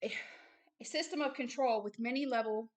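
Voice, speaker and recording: A woman's voice: on the high side, a little fast. A woman hosting religious commentary as she reads aloud and explains, speaking emphatically and repeating phrases for stress. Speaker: host, self-described prophet reading a book aloud with commentary.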